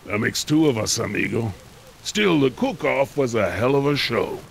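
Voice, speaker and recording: A man playing a battle-hardened mercenary, gravelly, terse and dryly humorous, in a video game. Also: mid-range voice, lively intonation, moderate pace, a little hiss or hum. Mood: dry, gruff. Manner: terse, dry. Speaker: game character, mercenary leader. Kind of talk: dramatic video game acting.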